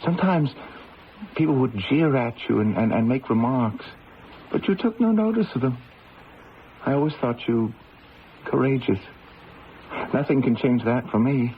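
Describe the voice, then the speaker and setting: Man playing a kind awkward young guard, respectful, mid-pitched, old radio drama, dull sound with faint noise. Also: lively intonation, normal speed, long pauses, close to an everyday voice. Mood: earnest, deferential. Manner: respectful, hesitant.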